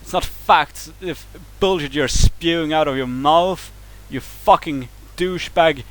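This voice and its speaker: A man with a Swedish accent and a somewhat high-pitched voice vents in a heated, profane rant. He stumbles and restarts words as his anger builds. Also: a little slow, poor audio. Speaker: vlogger reacting to a video. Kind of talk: angry rant to camera.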